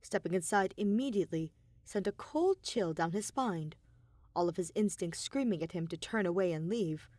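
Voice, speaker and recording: A woman reading scripted fiction as an audiobook narrator, with expressive, deliberate storytelling. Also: lowish voice, no echo. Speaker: podfic narrator.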